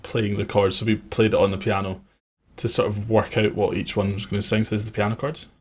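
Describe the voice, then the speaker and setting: A man's voice: fairly low pitch, flat delivery, fast talker. A man working as a music producer, giving an informal, conversational tutorial on his production methods.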